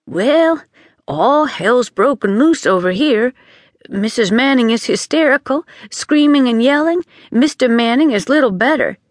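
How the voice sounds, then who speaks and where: A woman's voice: fairly high pitch, lively intonation, unhurried, no room sound, studio quality, voice pushed up. A woman voicing a flustered, talkative maid in an audiobook, anxious and loyal, with breathless, emphatic phrasing. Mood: agitated.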